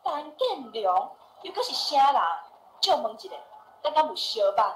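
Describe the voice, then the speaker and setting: A woman's voice: sits high, very animated, moderate pace, slight background noise, low-quality recording. An actress playing a sassy, scornful young woman in a Taiwanese radio drama, with a Taiwanese accent and exclamatory delivery. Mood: puzzled. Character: feisty young woman rebuffing an unwanted suitor.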